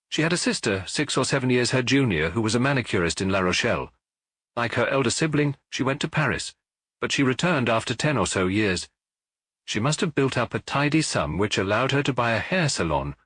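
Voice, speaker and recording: A man's voice: medium pitch, fairly bright tone, breathy edge, no echo. A man voicing a jovial, talkative middle-aged police chief superintendent in an audiobook. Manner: hearty, talkative, reporting.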